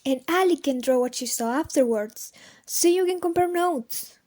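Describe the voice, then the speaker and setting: A woman's voice: high-pitched, normal speed, darkish tone, lightly acted. A woman playing a curious, practical fugitive companion in an amateur audio drama. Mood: matter-of-fact.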